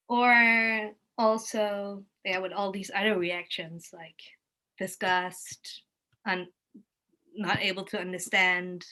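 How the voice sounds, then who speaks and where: A woman's voice: mid-range voice, bright, forceful tone, low-quality recording. German-accented woman searching for her words. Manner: halting, searching for words, thinking aloud. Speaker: interviewed art educator giving museum tours. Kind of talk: casual conversational explanation.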